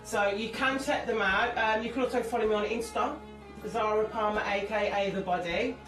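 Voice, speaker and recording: A woman, a singer and actress, talking candidly as an interview guest, lively and jokey with many restarts. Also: medium pitch, moderate pace. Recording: echoey room, far from mic, music behind.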